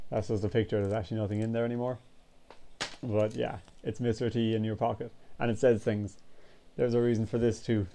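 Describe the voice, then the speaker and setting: A man, congested and sniffly, rambling casually in a vlog. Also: medium pitch. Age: 20s. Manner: rambling, relaxed, casual swearing. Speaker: vlogger. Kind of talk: casual chat.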